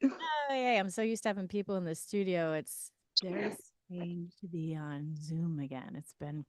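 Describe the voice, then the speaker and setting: A chatty woman hosting a radio show, her voice smooth and clean. She talks close to the studio mic in a dry, dead room with no echo. She laughs easily between questions. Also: on the low side, normal speed. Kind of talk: casual radio interview.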